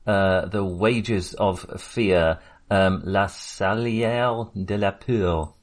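Low-voiced man with halting, hesitant phrasing. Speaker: podcast co-host. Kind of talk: casual film discussion.

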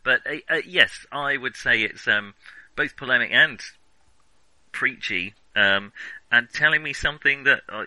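A man's voice: mid-range voice, measured pace, sharp tone, no room sound, rough recording. A man hosting a casual film discussion, talking in a hesitant, rambling way and often interrupting himself. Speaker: film podcast host.

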